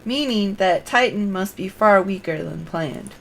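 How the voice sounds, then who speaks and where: Creaky-voiced woman streaming a game. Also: medium pitch, lively intonation, unhurried, slight background noise. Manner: thinks aloud in short fragments. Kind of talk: live game stream, reading quest dialogue aloud and muttering casually.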